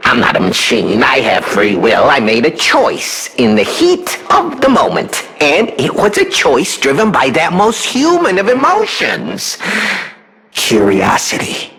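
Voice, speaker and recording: A man playing a sadistic killer puppet, the self-styled king of riddles, in a video game, with a gravelly voice and a mocking, gleefully dramatic delivery. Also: mid-range voice, wildly swooping pitch, a little slow, a bit bright, exaggerated voice. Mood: sinister relish. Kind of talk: theatrical video game villain monologue.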